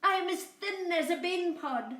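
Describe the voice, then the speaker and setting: A woman's voice: very high-pitched, expressive, normal speed, a bit bright, voice pushed up. A woman voicing a timid but cunning little female lamb in a storybook, bleating and coaxing. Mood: pleading. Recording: roomy, echoey, distant mic.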